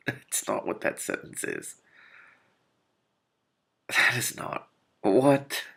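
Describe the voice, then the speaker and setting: A man reading a novel aloud as host of a read-along, with expressive, exclamatory and bemused spontaneous commentary. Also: medium pitch, drawn-out words, creaky, long pauses.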